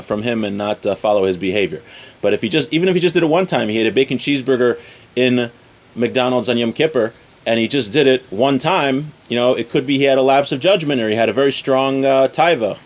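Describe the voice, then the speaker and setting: Male religious teacher, mid-pitched, informal class delivery, explanatory, restates points in plain everyday terms. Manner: explanatory, repeats and rephrases points with everyday examples. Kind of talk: informal religious lesson, reading source text then explaining.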